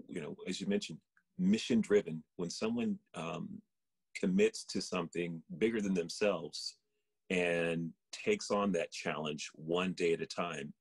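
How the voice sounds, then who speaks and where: A man's voice: deep voice, a little slow, breathy edge, no echo. A man co-hosting a podcast as a running coach, giving casual motivational talk.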